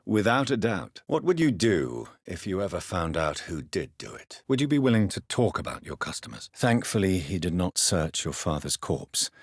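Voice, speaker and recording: An actor playing a grave, courteous doctor turned vampire in 1910s London, with a husky voice and formal, measured delivery, in a dramatic video game. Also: male voice, low-pitched, no room sound, clean studio sound, exaggerated voice. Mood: inquiring, steady. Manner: formal, measured, articulate, earnest.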